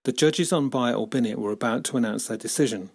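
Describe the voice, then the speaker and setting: A man reading a children's story as scripted narration, expressive and dramatic in his delivery. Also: mid-range voice, fast, gravelly. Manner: expressive, dramatic character shifts. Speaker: author reading own book.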